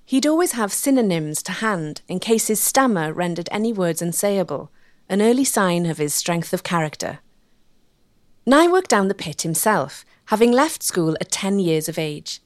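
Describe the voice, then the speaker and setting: A woman reading scripted documentary narration in a measured, articulate way. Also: lowish voice, expressive, dry recording, studio quality.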